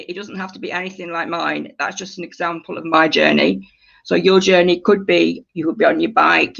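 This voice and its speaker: Creaky-voiced woman leading a workshop. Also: lowish voice, a bit bright, highs cut off. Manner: encouraging, explains by example. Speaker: creative writing workshop leader. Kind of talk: informal workshop instruction.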